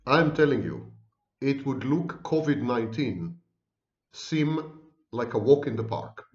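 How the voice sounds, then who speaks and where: A man's voice: mid-range voice, darkish tone. A middle-aged man, talking to camera at home in an ironic, lecturing way. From Israel. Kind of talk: monologue to camera. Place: home room. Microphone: webcam. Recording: roomy, dull webcam sound.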